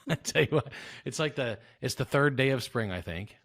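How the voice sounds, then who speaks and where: A man's voice: medium pitch, expressive, moderate pace, fairly bright tone, no echo. An American man hosting a podcast, chatting casually and jokingly as he interviews a guest. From South Louisiana, United States. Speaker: podcast host.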